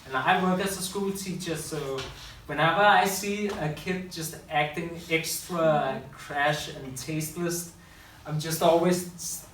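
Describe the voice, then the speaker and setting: A man's voice: on the high side, measured pace, a bit bright. A man giving a public talk to an audience, loose and unscripted, often correcting himself mid-sentence. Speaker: public speaker and school teacher. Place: room with audience. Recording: echoey room from far off, faint hiss.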